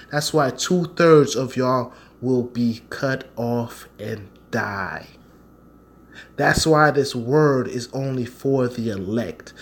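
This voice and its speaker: An American man delivering a fervent, informal sermon in a confrontational, slangy manner, repeating phrases for stress. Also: medium pitch. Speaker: street-style preacher and Bible teacher.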